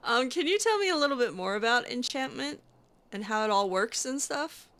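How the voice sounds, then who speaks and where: A woman's voice: on the high side, expressive, normal speed, fairly bright tone. A woman doing casual, improvised roleplay commentary over a video game, chatty and playful with a hesitant way of talking. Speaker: gamer roleplaying a character.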